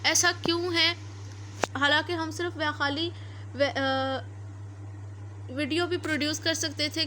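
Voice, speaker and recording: A woman's voice: high voice, fairly bright tone. A woman with a Pakistani accent giving an explanatory lecture, didactic, restating key points as she goes. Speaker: law lecturer. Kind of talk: explanatory law lecture with examples. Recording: noticeable background noise.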